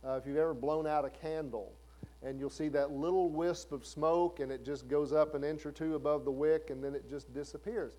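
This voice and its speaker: A man's voice: on the high side, fairly even intonation, brisk, mellow, low-quality recording. A man in his fifties preaching a sermon in a conversational way, asking the listeners questions. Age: 50s. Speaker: pastor.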